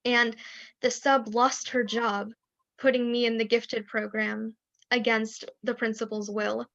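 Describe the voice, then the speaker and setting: A woman with a voice a bit higher than most tells a personal story frankly, now and then breaking into small rueful laughs. She comes through a computer mic on a video call, and the sound is dry and dull. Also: level tone, measured pace, vocal fry, a bit bright, no room sound. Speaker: webinar panelist.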